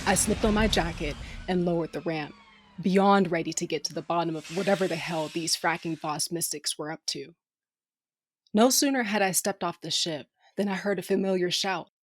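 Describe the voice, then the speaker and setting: An actress playing a tough, wry spacefaring crew leader, narrating an audio drama in a brash, casual way. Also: female voice, lowish voice, normal speed, natural voice. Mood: gritty narration. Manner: brash, casual, take-charge.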